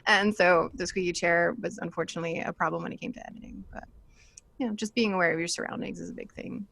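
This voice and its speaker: A woman hosting a casual panel chat, talking in an animated, jokey, chatty way. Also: on the low side, fast, gravelly, dry recording. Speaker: panel host and podcaster.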